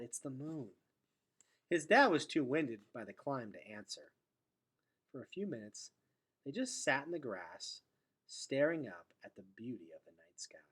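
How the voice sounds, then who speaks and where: A man reads a children's novel aloud like a teacher to a class. His voice is husky and middling in pitch, with big melodic swings. He shapes phrases dramatically, often letting them sink into a hush. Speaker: teacher reading aloud to students. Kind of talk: expressive storybook read-aloud.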